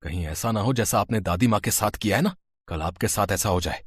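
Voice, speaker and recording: A man's voice: on the low side, brisk, breathy edge, dry recording. A man voicing an indignant twenty-year-old grandson in a storybook tale, earnest and reproachful. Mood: angry, hurt.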